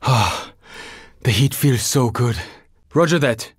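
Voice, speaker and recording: A man's voice: mid-range voice, takes it slowly, slightly rough, no echo, studio quality. A man voicing a young survivor in a zombie video game, terse, earnest and urgent. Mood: guarded, low-key. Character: young Japanese male survivor fighting a zombie horde, urgent, determined. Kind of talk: video game voice lines, dramatic combat barks.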